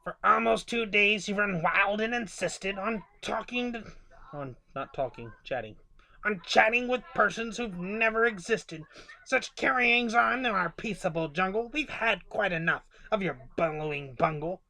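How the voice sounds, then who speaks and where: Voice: medium pitch, animated, a little slow, vocal fry, pushed and bright, pitched above the performer's own voice, full character voice, low-quality recording. A storyteller voicing a stern, scornful kangaroo with a snappish, haughty manner in a storybook. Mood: scolding. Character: bossy, scolding big kangaroo, stern and scornful.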